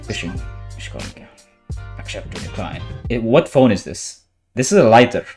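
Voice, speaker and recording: A man's voice: fairly low pitch, sing-song, moderate pace, gravelly, a little mellow. A young man chatting casually and giggling as he hosts a group reacting to pictures, with slangy, repetitive phrasing. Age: young adult. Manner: giggly, slangy, repeats himself. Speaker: host. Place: small room at home, indoors. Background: background music.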